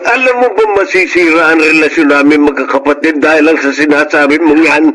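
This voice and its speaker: A man playing a weary, earnest middle-aged Filipino family man in a radio drama, speaking in measured, formal phrases. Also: a little high, crowd noise, lightly acted. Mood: troubled.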